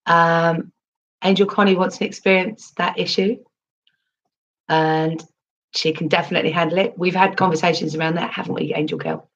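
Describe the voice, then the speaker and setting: A woman with a husky, low voice, still hoarse after losing her voice, rambles through a casual livestream. She reads her chat aloud and answers in a chatty, meandering way, full of ums and restarts. The sound is slightly dull and lo-fi. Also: lively intonation, normal speed, fairly bright tone. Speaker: crypto livestream host reading chat.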